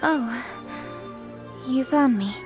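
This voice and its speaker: A woman's voice: sits high, drawn-out words, over loud music. A woman voicing a dutiful, earnest young noblewoman official in a video game, with stiff, precise, formal diction. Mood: troubled.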